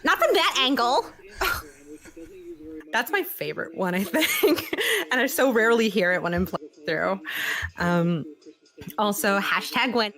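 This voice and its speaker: A woman's voice: medium pitch, very animated, a little slow, pauses often, a bit bright. A woman chattering playfully and casually, like a guest playing a video game.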